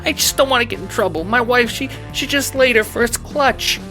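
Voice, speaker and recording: A timid little crocodile clerk, pitched very high for a storybook tale. He stammers and pleads in a choked, frightened way, pushing his words out loudly. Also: moderate pace, over music. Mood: nervous pleading.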